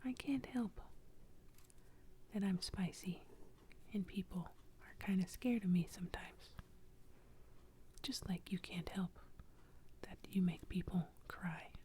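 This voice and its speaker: A woman's voice: mid-range voice, slow pace, long pauses, darkish tone, lightly acted. A woman whispering as a kind jalapeno friend. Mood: comforting. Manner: whispered, reassuring.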